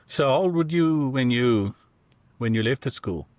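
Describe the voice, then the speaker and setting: A man's voice: medium pitch, lively intonation, moderate pace, darkish tone, no room sound. A man co-hosting an interview podcast, asking rambling, easygoing questions full of fillers. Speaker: podcast co-host.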